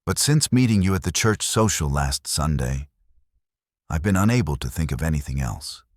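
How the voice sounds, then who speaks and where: Male narrator as humble young suitor, very deep pitch, dry recording, formal, earnest, devoted, audiobook love letter. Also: lively intonation, moderate pace, dry recording.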